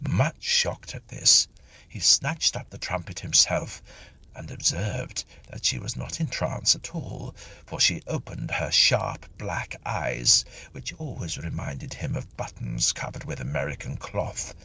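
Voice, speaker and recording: A man reading a literary story aloud in an expressive, theatrical narrating style. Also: low voice, measured pace, gravelly, breathy edge. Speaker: story reader.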